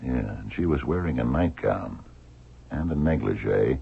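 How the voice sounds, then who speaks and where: An actor playing a shrewd, dogged blind investigator in a radio drama, gravelly and husky, probing with hesitant, murmured questions. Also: male voice, a bass voice, lively intonation, measured pace, exaggerated voice. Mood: musing, intent. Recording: thin, phone-like old radio recording.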